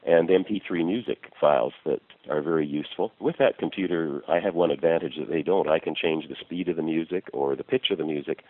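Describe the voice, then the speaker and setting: An older man with a very deep bass voice answers interview questions at a brisk clip, explaining things patiently with plenty of small fillers. His voice comes through a narrow, phone-like line, dry and close, with no room sound. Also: no echo. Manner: measured, explanatory, with frequent uh fillers. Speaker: retiring physician and columnist, interview guest.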